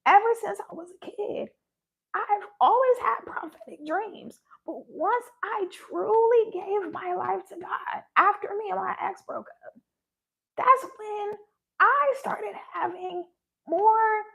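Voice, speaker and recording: Woman, hoarse and gravelly, very high voice, slow and earnest, laughs a lot. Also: a bit bright, rough recording. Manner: earnest, laughs often, repeats phrases. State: losing her voice. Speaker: spiritual vlogger. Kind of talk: casual spiritual livestream talk.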